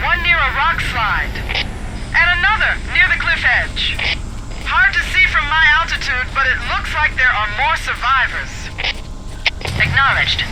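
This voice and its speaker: A woman's voice: sits high, big pitch swings, unhurried, noisy background. A woman voicing a dutiful female military dropship pilot in a video game, speaking in clipped procedure over a radio. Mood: calm, dutiful. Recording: radio voice under loud music, engines.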